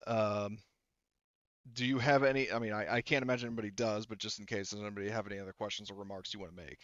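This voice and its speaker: A man improvising narration as a tabletop game master, conversational, halting and casually profane. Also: fairly low pitch, steady tone, a bit bright, no echo, lo-fi sound.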